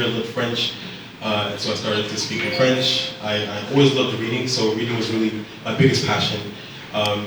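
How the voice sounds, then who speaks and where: A young man in his twenties from Zambia telling his life story to an audience in a relaxed, halting way with many fillers. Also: mid-range voice, background noise. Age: 20s. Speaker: speaker at a storytelling event. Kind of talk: personal storytelling talk. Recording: echoey room picked up from a distance.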